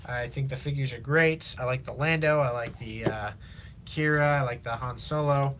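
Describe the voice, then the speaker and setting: A teenage man from Illinois, chatting casually on a live stream while he builds, rambling with lots of fillers, his voice slightly raspy from long talking. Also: medium pitch, fairly even intonation, fairly bright tone, a little hiss or hum. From Illinois, USA. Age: teens. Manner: rambling, halting, many fillers and restarts. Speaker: livestreamer.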